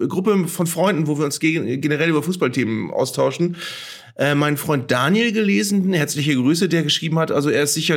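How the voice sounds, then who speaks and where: A middle-aged man talking reflectively and conversationally as co-host of a football discussion. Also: a little high. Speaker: podcast co-host.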